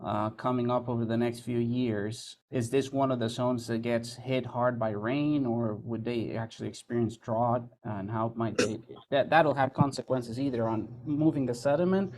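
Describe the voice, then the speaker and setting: Guy asking a rambly question, mid pitch, video call. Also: steady tone, moderate pace, hardly any pauses, a little mellow. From Costa Rica. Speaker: audience member.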